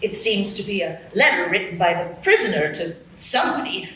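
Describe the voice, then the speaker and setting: A woman's voice: medium pitch, lively intonation, fairly quick, fairly bright tone, natural voice. A woman voicing a fussy, officious rabbit court herald in a live radio play, with a formal announcing manner. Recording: echoey stage, faint noise.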